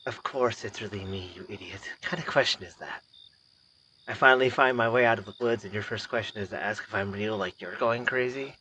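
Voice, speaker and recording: A man's voice: fairly low pitch, a little fast, sharp tone, slight background noise, rough recording. A man playing a young missing friend returned from the woods in an immersive horror audio roleplay, talking casually and coaxingly, with exasperation, straight to the listener. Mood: exasperated, impatient. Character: eerie young male missing friend, maybe a creature in disguise.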